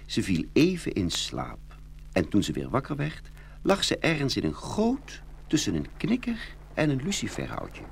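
A man narrating a children's fairy tale in an expressive, scripted storytelling style. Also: mid-range voice, moderate pace, gravelly. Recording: hum and steady noise.

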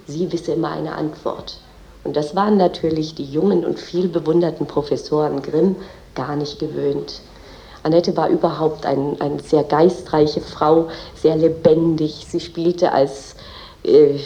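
A woman with a very low-pitched voice speaks as a guide explaining someone's life. Her tone is dark and relaxed, and her delivery is explanatory, with some hesitation. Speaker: guide telling of a poet's life. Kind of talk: semi-spontaneous biographical explanation.

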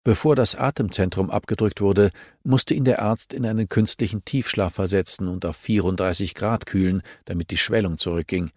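A man's voice: on the low side, steady tone, darkish tone, dry recording, clean studio sound, close to an everyday voice. Grave, clinical hospital doctor played by a man. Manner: clinical, measured, explanatory.

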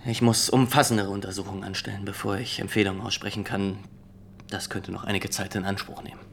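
A man's voice: on the low side, little pitch movement, fast, creaky. An actor in a German radio drama playing a rigid, dutiful male inspector with formal, clipped, officious speech. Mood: stiff, guarded. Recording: noticeable ambient background noise.

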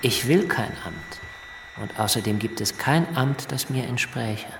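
An actor playing a stubborn, suspicious, austere revolutionary idealist, curt and unbending, in a radio drama. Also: male voice, medium pitch, animated, unhurried, fairly bright tone, a little hiss or hum. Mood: stern, defiant.

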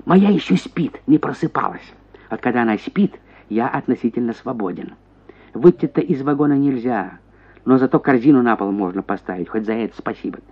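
An actor voicing a henpecked prosecutor, a self-pitying husband who grumbles and exclaims theatrically in a radio story. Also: male voice, mid-range voice, fairly quick, slightly rough, lo-fi sound, pitched below the performer's own voice. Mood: sly, bitter. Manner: plaintive grumbling that bursts into excited exclamations.

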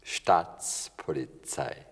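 A man's voice: drawn-out words, fairly bright tone. An actor playing an erratic, mocking state policeman in his seventies in a radio play, repeating words. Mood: mocking, excitable. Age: 70s. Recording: reverberant hall, distant.

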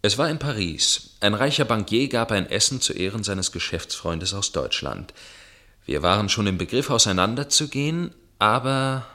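An actor playing a tormented storyteller recounting a dark tale in a German radio play, with grave, measured, literary delivery. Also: male voice, lowish voice, very animated, exaggerated voice. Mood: grave storytelling.

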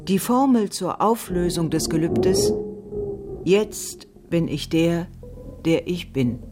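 An actor playing a grave teacher of ancient ritual lore in a radio drama, speaking in a measured way. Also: mid-range voice, lively intonation, over music.

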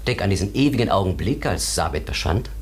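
Deep-voiced contemplative man. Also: animated, breathy edge. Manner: deliberate, contemplative, explanatory. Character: philosophical man pondering another world, thoughtful companion. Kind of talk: literary German radio drama acting.

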